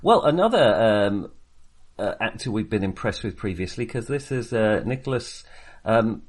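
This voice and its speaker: A man co-hosting a film podcast in casual discussion, speaking in a halting, wry and self-deprecating way. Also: lowish voice, lively intonation.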